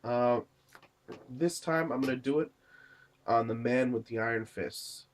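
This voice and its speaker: Young male reviewer, sick and coughing, rambling casual delivery, average pitch. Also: unhurried, noisy background. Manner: rambling, many fillers and restarts. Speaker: movie reviewer, channel host. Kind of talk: casual spoken movie review.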